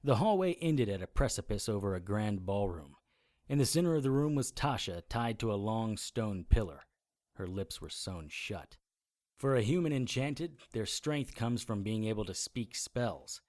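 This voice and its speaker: A man acting a brisk, commanding occult adventurer in an audio drama, instructive and matter-of-fact. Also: fairly low pitch, animated, a little mellow, lightly acted. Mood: sober narrating. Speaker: lead actor and narrator. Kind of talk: audio drama acting and first-person narration.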